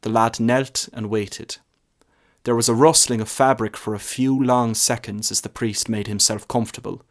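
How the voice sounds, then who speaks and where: A man's voice: medium pitch, fairly even intonation, moderate pace. A man reading a short story as narrator, in scripted narration.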